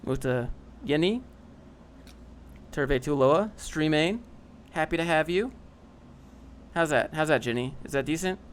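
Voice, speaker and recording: A man's voice: fairly high pitch, expressive, unhurried, pauses often, slight background noise. A young man casually reading and answering chat on a livestream, dry and sarcastic, often rambling.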